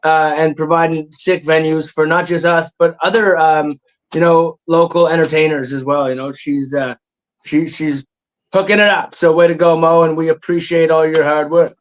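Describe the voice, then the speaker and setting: A man's voice: on the high side, fairly even intonation, normal speed, low-quality recording. A man in his thirties hosting a comedy podcast, talking loosely, laughing and swearing, with lots of fillers. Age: 30s. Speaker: podcast host. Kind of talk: casual comedy podcast chat.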